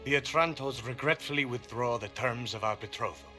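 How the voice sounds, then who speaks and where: A proud, gracious lord, played by a man in a game cutscene. He speaks at a medium pitch with haughty, formal courtliness, while music plays clearly underneath. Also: brisk, lightly acted. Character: proud, gracious aristocratic lord conceding defeat. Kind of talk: video game cutscene acting.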